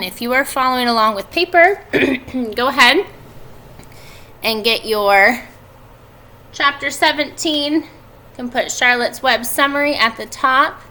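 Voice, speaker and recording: Bright, higher-pitched woman teacher. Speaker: elementary school teacher. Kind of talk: read-aloud and instructions for schoolchildren. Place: indoors at home by a fireplace. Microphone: computer webcam at desk distance.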